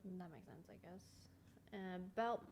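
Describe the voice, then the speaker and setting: A woman's voice: on the low side, very animated, normal speed, gravelly, darkish tone, a little hiss or hum. A woman giving casual, informal commentary while playing a video game, in an offhand, slangy way. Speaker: gamer recording a playthrough. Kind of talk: casual game commentary, reading dialogue aloud.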